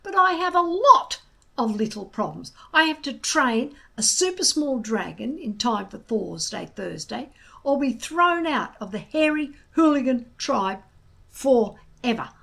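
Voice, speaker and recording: A gloomy young Viking boy from a storybook, voiced by a woman, pleads and grumbles in a high, swooping voice. He is unheroic and easily exasperated, stressing every complaint. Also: lively intonation, unhurried, creaky, a bit bright, heavily acted. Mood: cross. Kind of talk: children's storybook character voice.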